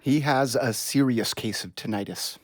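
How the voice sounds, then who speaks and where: An actor playing a harried but caring middle-aged family doctor, brisk and instructive, in an audio play. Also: male voice, medium pitch, no echo, studio quality, natural voice. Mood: defensive.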